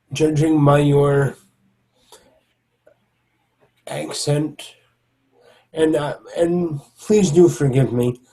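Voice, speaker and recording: A man voicing a huge, gentle male troll knight who speaks with formal, soft-spoken courtesy. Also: fairly high pitch, low-quality recording.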